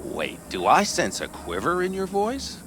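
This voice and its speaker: An actor playing a cynical, teasing veteran nomad smuggler in a video game, with a sardonic, animated delivery. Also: male voice, sits high, a little slow, background noise, full character voice. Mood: wry, teasing.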